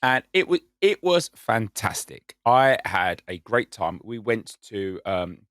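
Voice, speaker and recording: A man's voice: on the low side, sing-song, slow pace, a bit bright, no echo, clean studio sound. A man co-hosting a casual podcast, telling stories in an enthusiastic, self-mocking way. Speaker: podcast co-host. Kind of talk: casual chat.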